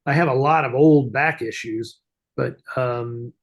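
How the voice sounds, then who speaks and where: Gravelly man chatting casually, halting. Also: medium pitch. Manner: halting, discursive, searches for words. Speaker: show host, film expert answering fan questions. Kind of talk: casual unscripted chat. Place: at home, upstairs room.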